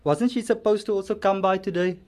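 A woman's voice: medium pitch, brisk, noisy background, lightly acted. A woman playing a laid-back, casual young family member in a radio drama, with a South African accent and slangy manner. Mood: mildly reluctant. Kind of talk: amateur radio drama acting.

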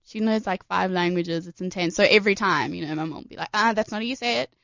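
An eighteen-year-old woman with a slightly raspy voice, chatting as a guest in a radio studio, giggly and self-deprecating. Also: on the low side, quick pace, fairly bright tone, no room sound. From South Africa, of Portuguese family. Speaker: guest singer. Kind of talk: casual radio chat. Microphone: studio microphone. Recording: dull, dry studio sound.

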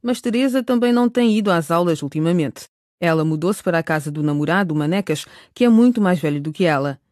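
A woman's voice: on the low side, animated, fast talker, darkish tone, no echo. Woman narrator, close dry sound. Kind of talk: scripted radio drama narration.

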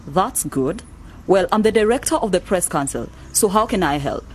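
A brisk, authoritative woman official in a radio drama, played by an actress. Her voice is on the low side, with faint street ambience behind it. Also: animated, slight background noise, close to an everyday voice. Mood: official, explaining. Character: brisk, authoritative woman director of a press council. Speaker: actor in an educational radio drama. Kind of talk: scripted radio drama dialogue.

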